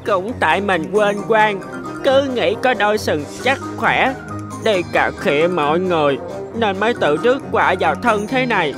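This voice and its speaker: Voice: mid-range voice, lively intonation, normal speed, lightly acted. A woman voicing a quarrelsome cartoon animal that whines when hurt, in an exaggerated, emphatic manner. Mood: sorry, sulky. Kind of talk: animated fable acting. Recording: music behind the voice. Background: music.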